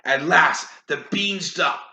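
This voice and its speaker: A woman reading a children's story aloud in an animated way, with casual asides. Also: low voice, slow. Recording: roomy echo, faint background noise.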